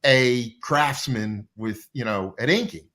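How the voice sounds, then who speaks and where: A man's voice: mid-range voice, slow pace, pushed and bright. A middle-aged or older man telling rambling anecdotes as a guest in a casual interview about his working life. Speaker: comic book artist and interview guest. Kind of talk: casual reminiscing interview chat.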